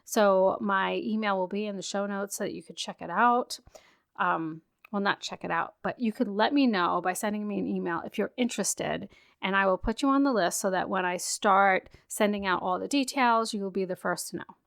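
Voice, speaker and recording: A woman's voice: medium pitch, moderate pace, vocal fry. A woman hosting a self-care podcast, talking casually with frequent fillers and an encouraging tone, her voice a bit worn after a virus. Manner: chatty, many "like" fillers, encouraging. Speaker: podcast host. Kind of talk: casual solo podcast talk.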